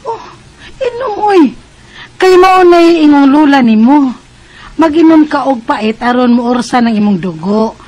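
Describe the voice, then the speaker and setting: Insistent Cebuano mother, high voice. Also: lively intonation, unhurried, darkish tone, poor audio. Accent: Cebuano Filipino. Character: insistent mother of a teenage daughter, pushing bitter remedy. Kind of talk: Bisaya radio drama acting.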